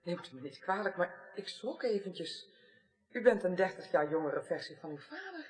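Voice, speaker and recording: A woman's voice: on the low side, big pitch swings, normal speed, husky, exaggerated voice. An actress playing a polite, easily startled young woman in a radio drama, speaking somewhat tentatively. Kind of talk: 1980s Dutch radio drama acting.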